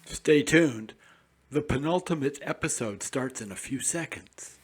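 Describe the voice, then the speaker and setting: A man's voice: mid-range voice, animated, unhurried, slightly rough. A man hosting a classic radio programme, reading scripted narration to listeners. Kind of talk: scripted narration on old-time radio history.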